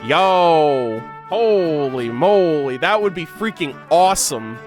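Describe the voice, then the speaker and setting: A man giving casual, slangy reaction commentary as an excitable gaming video host. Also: a little high, slow, soft background music.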